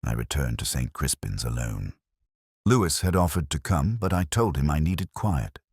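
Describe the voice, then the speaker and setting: Really deep guy reading a detective story in first person, serious and measured, lots of rise and fall. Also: lively intonation, a little mellow, breathy edge, dry recording, studio quality. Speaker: narrator.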